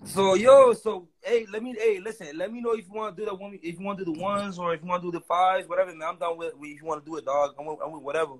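A young man in his twenties talking quickly into his phone's front camera, his voice a little raised in pitch. He keeps restarting his sentences, slangy and insistent. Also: fairly even intonation, poor audio. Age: 20s. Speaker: livestream guest. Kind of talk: casual chat.

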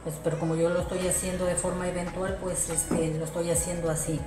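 A woman's voice: fairly low pitch, level tone, brisk. A woman giving an informal hands-on craft tutorial, explaining each step directly to viewers. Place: small domestic room indoors. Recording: roomy echo, music behind.

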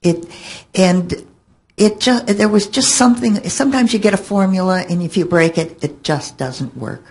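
An older woman answering questions on a panel, haltingly, thinking aloud, into a table microphone in a conference room. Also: medium pitch, a little mellow, husky. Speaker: panelist and longtime fan. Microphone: table microphone on stand.

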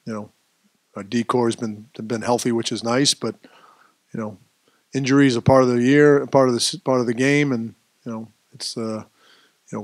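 A middle-aged man as head coach at a postgame press conference, speaking at a table microphone in a press room, measured and dropping off at phrase ends, with many fillers. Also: medium pitch, no room sound. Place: press room with sponsor backdrop.

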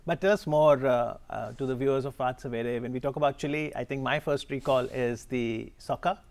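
A man in his thirties, TV morning show host, polite, measured questions, pauses with "uh", lapel mic, studio set. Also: mid-range voice, vocal fry, darkish tone. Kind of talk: studio TV interview.

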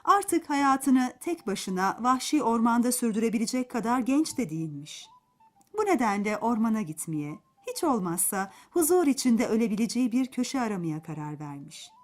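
Animated woman storyteller for children. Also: on the high side, moderate pace. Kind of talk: expressive scripted narration of a folk tale for children.

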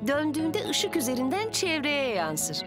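High, lively young woman builder. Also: normal speed. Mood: brisk. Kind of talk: animated children's cartoon dub. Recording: music behind the voice.

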